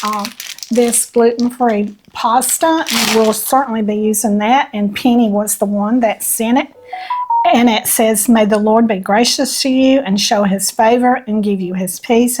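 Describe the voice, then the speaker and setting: An older woman co-hosting a channel, her voice a little hoarse from allergies, reading viewer notes aloud in a folksy chat. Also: fairly high pitch, noisy background. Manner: folksy, reads notes aloud with small laughs. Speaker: channel co-host.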